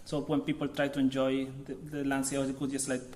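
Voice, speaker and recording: A man in his thirties with a Chilean accent speaks at a mid-range pitch, talking loosely and haltingly as he searches for his words. A faint hiss sits under his voice in a lecture room. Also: monotone, a little mellow. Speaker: visiting artist. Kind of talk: public artist talk.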